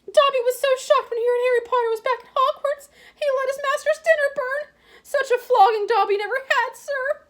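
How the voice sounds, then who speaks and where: A woman voicing a tiny, servile male house elf who pleads in a high, tearful whisper. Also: fairly quick, a bit bright. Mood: miserable pleading.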